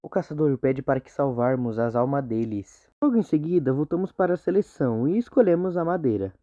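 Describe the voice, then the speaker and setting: A man's voice: medium pitch, animated, fairly quick, warm tone. A man with a Brazilian Portuguese accent narrating an informal, slangy storytelling recap as a video narrator. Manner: colloquial, slangy. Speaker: video narrator explaining horror series. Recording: muffled phone-like audio, music behind. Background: background music.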